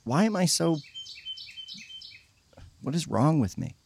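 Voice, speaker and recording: A man's voice: fairly high pitch, sing-song, slow pace, creaky, darkish tone, a little hiss or hum, full character voice. An actor playing a troubled, guilt-ridden young churchgoing man, speaking hesitantly and stammering in a naturalistic audio drama. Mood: anguished, confessing.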